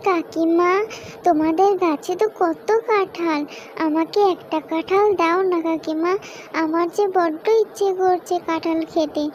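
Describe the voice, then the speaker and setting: A woman's voice: extremely high pitch, steady tone, unhurried, highs cut off. A woman voicing a young fox girl in a children's cartoon, eager and wheedling in a childlike way. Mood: pleading. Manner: wheedling, repetitive pleading. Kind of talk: children's cartoon story voice acting.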